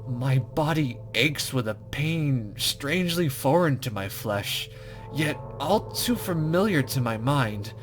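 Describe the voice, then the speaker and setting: Chatty let's play host, medium pitch. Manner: chatty, self-deprecating, giggly asides. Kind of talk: casual gaming commentary and reading game text aloud.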